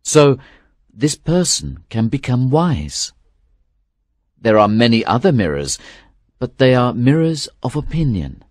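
A man's voice: medium pitch, very animated, a little slow, a little mellow, no room sound, clean studio sound. A man voicing a frightened temple priest who pleads and then explains earnestly. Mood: explaining.

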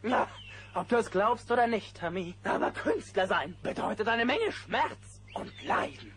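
An excitable cartoon bear artist, theatrical and pleased with himself. The voice is very high and leaps around freely, on a noisy old children's cassette play. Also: male voice, measured pace. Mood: exuberant. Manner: theatrical, breezy, self-satisfied. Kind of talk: German children's audio drama acting.

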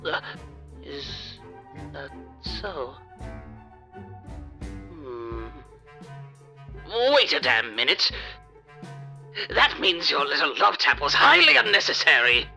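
A man's voice: fairly high pitch, sing-song, slow pace, gravelly, pauses often, pushed and bright, full character voice. A man voicing a dapper male radio demon who talks in a pompous, old-fashioned way in a fan comic dub. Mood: indignant. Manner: pompous, dry retorts. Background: background music.

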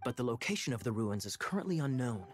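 A man voicing a sly young knight captain who speaks in a smooth, theatrical, playfully mocking way. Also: medium pitch, very animated, quick pace, soft background music, natural voice. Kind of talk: game cutscene dialogue.

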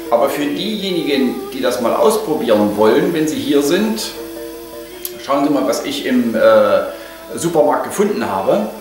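An older man chatting casually through a home cooking vlog, full of hesitations, on a lapel mic in his kitchen. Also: medium pitch. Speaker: vlogger. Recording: echoey kitchen, mic at a distance, music behind.

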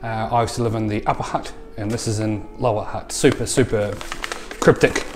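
A man's voice: fairly low pitch, fairly even intonation, a little fast, faint music behind. A man in his thirties with a New Zealand accent, chatting casually as he reviews coffee in his home kitchen. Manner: jokey, chatty, playful. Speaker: coffee show host.

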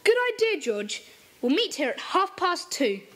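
A woman voicing a brisk, commanding boy who leads a children's secret club in an audio drama. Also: high-pitched, big pitch swings, heavy background noise.

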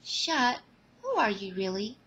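A woman voicing an excitable, confident teenage girl in a fan audio drama, with gushing, emphatic delivery. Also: medium pitch, takes it slowly, husky, voice pushed up, full character voice. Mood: firm.

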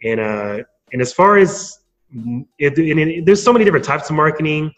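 A man giving an informal, halting answer as a guest in a casual interview. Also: a little high, sing-song, a bit bright. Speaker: podcast guest, marketing speaker.

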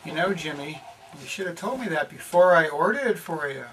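A middle-aged man playing a comic judge and shopkeeper in a parody training film, speaking with deliberate emphasis. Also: fairly high pitch, animated, noisy background, close to an everyday voice. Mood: friendly. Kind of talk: amateur comedic acting. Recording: echoey room, off-mic.

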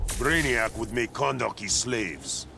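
A man's voice: medium pitch, sing-song, takes it slowly, creaky, music in the background, full character voice. An actor playing a proud, contemptuous godlike warrior king in a video game, pompous and stern. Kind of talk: video game fight banter.

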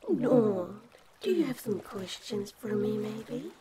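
A woman playing a giant, possessive female kraken in a sweetly menacing, coaxing ASMR roleplay, with drawn-out, intimate delivery. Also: mid-range voice, expressive, over a noisy crowd. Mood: coy.

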